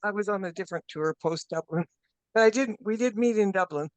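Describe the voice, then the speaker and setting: A woman's voice: mid-range voice, fairly quick, darkish tone, dry recording. A woman joining a video call, introducing herself in an upbeat, casual way. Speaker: webinar participant.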